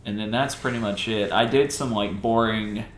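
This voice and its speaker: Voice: mid-range voice, normal speed, slight background noise. A podcast co-host chatting casually and swearing freely, acting out quoted lines while retelling stories. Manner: sweary, animated quoting of characters. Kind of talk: casual chat, retelling a video game plot. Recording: echoey room.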